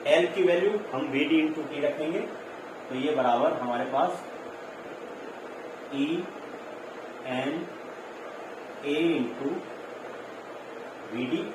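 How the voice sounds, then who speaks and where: Male physics teacher, slightly high voice, explanatory lecture delivery, heard from a distance with heavy room echo. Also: very slow, long pauses. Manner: explanatory, repetitive, with check-in tags. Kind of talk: classroom lecture mixing in English terms. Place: echoey room. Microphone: distant microphone. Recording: very echoey, distant mic, clear noise.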